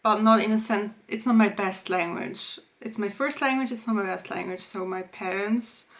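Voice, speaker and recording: A woman's voice: mid-range voice, steady tone, normal speed. A woman in her late twenties from Switzerland, chatting casually in a day-in-my-life vlog at home, with fillers and small restarts. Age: 20s. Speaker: vlogger. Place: home kitchen.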